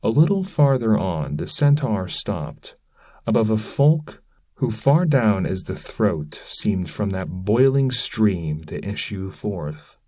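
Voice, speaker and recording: A man reading narrative verse aloud in a measured, solemn manner, as a scripted dramatic recitation. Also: fairly low pitch, big pitch swings, mellow. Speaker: reader.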